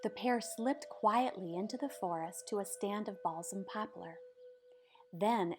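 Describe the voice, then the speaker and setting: A woman reading a children's picture book aloud in a measured, dramatic storytelling manner. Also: mid-range voice, a little mellow, slight background noise. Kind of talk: expressive scripted storybook narration.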